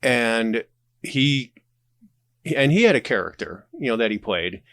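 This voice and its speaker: Man, mid-pitched voice, casual podcast guest, animated storyteller, very dry sound. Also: a little slow, dry recording. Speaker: podcast guest, audio drama creator.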